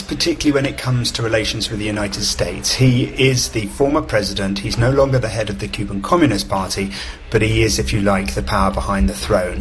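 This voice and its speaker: Low-voiced male foreign correspondent. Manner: measured, explanatory reporting. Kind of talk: live news report.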